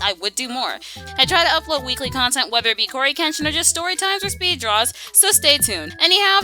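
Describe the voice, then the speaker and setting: A woman's voice: sits high, pushed and bright. A woman hosting a casual chat video with co-hosts. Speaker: host of a fan video series.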